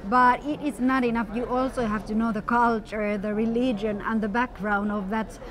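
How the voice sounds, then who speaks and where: A middle-aged woman from Finland, interpreting a guest's words in first person with steady, slightly formal explanation. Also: a little high, normal speed. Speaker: sign language interpreter voicing the guest. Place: outdoor courtyard at a busy event. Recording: outdoor event with crowd noise. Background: crowd chatter.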